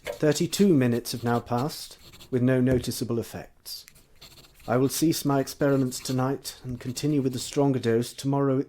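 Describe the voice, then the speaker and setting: An actor playing a cold, menacing Victorian gentleman scientist in a stage drama, clipped, curt and contemptuous. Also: medium pitch, fairly even intonation, moderate pace, natural voice. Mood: clinical. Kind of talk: theatrical stage drama acting.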